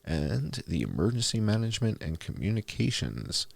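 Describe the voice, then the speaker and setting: A man hosting a commentary, reading documents aloud in a deliberate, announcer-like way with dry, sardonic asides. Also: lowish voice, very animated, gravelly, no echo. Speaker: host presenting and reading documents.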